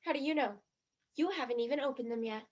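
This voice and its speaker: A woman's voice: sits high, quick pace, lo-fi sound. An actress in a stage play playing a disgruntled, sarcastic young tourist who complains in exasperation about her trip. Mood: fed up.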